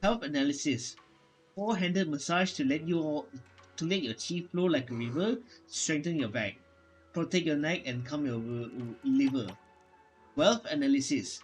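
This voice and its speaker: A man with a slightly high voice reads on-screen game text aloud as a streamer. His delivery is halting, with stumbles over words. Music plays behind him. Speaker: gaming streamer. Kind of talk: reading game dialogue aloud during a playthrough.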